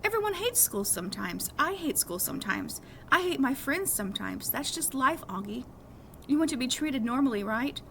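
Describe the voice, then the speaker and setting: A woman's voice: sits high, big pitch swings, normal speed, a bit bright, pitched above the performer's own voice, heavily acted. A woman voicing an earnest, impatient teenage big sister in a novel read-aloud, with emphatic, persuasive delivery. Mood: earnest, pleading. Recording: clearly audible background noise.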